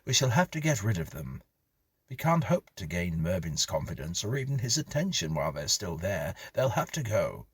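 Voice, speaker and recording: Man acting an exasperated husband, gravelly and emphatic. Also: low-pitched, sing-song, normal speed, dry recording, heavily acted. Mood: insistent. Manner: emphatic, indignant. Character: exasperated, resentful husband and father, insistent and scornful.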